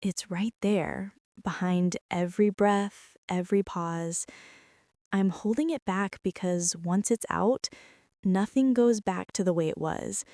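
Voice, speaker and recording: A woman performing a soft ASMR roleplay as an insecure woman CEO confessing her feelings to an employee, talking in a hushed, halting way that slips into whispers. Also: mid-range voice, dry recording, studio quality. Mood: confessional.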